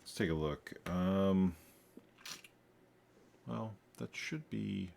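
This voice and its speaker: Deep, gravelly man muttering through code. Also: a little mellow. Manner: muttering to himself, halting and self-correcting. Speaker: game programmer. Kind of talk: thinking aloud while coding.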